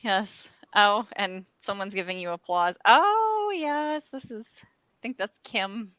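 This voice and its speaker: A woman's voice: mid-range voice, lively intonation, slow pace, fairly bright tone, no echo. A woman hosting a live reading event, talking casually in a chatty, informal, slightly scattered way. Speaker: host of live reading show. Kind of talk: casual chat.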